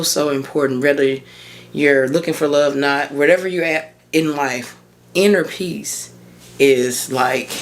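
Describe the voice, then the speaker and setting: A woman in her thirties with a hoarse voice, hosting a casual solo podcast as a mindset coach and chatting informally and encouragingly with her listeners. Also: rumbling low, slight background noise. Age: 30s.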